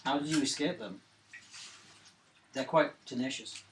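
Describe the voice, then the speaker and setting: A man's voice: mid-range voice, a little slow. A man playing a tabletop role-playing game, asking questions in casual chat. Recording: echoey room, distant mic, noisy.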